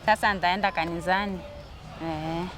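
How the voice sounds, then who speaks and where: A woman's voice: lowish voice, steady tone, moderate pace, background noise. A woman patient giving her testimonial in simple, relieved words.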